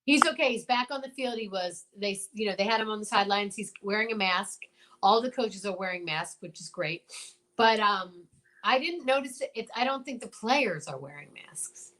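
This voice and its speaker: A woman's voice: mid-range voice, lively intonation, normal speed, a bit bright. A woman co-hosting a show in casual interview chat, with an effusive, encouraging manner. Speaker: show co-host.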